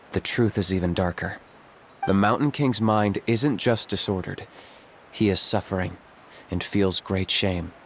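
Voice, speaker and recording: A man voicing a stoic young warrior in a video game, calm and deadpan, always blunt and practical. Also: lowish voice, slight background noise, natural voice.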